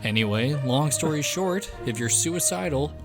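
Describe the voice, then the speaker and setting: A man's voice: a little high, lively intonation, normal speed. A man playing a smarmy male TV news anchor, in a mock-formal newscaster delivery over background music. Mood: deadpan newscast. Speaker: comedy reader.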